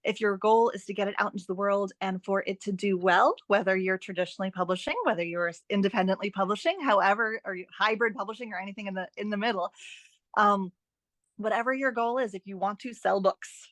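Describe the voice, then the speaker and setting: A woman guest in a conversational interview, giving advice in an animated, emphatic and encouraging way with playful asides. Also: mid-range voice, quick pace, no echo. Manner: animated, emphatic, repeats phrases for stress. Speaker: podcast guest, writing and editing expert.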